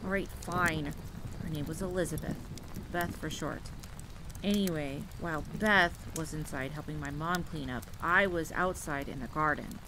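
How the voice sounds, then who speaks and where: Woman acting gruff vampire hunter in rainy ambience. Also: low-pitched, moderate pace, close to an everyday voice. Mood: irritated. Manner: curt, grudging, matter-of-fact. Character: gruff, no-nonsense young female vampire hunter, reluctant storyteller. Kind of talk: dramatic campfire storytelling roleplay. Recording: clear rain and ambience noise. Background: rain, rolling thunder, campfire ambience.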